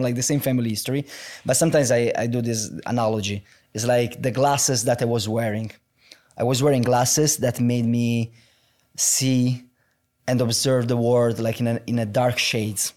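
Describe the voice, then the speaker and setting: A young man with an Italian accent talks openly about his own life in an interview. His speech is halting, full of restarts and filler words, and sits at an ordinary pitch for a man. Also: fairly even intonation, darkish tone. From Italy. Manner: halting, many restarts and "like" fillers, open and reflective. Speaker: guest. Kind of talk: candid personal conversation.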